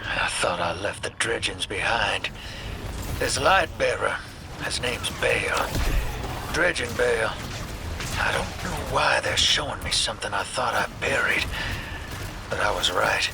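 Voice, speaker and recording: An actor playing a world-weary, roguish outlaw in a sci-fi game, with a gravelly, colloquial drawl. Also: male voice, fairly low pitch, lively intonation, a little slow, long pauses, background noise. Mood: grim, reflective. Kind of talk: sci-fi game cutscene acting.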